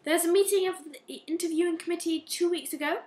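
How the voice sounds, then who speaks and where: A woman acting a stern, relentless police inspector in an amateur radio play, questioning in a measured, accusing manner. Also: very high-pitched, a little mellow. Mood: stern, probing. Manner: probing, measured, morally accusing. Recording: roomy, echoing amateur recording.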